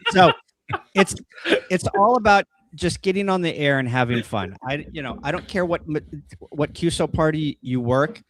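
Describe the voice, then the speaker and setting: A man's voice: on the high side, expressive, moderate pace, fairly bright tone, no room sound, poor audio. A man in a casual panel chat, talking bluntly and with strong opinions as a guest explainer. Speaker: guest explaining amateur radio contests.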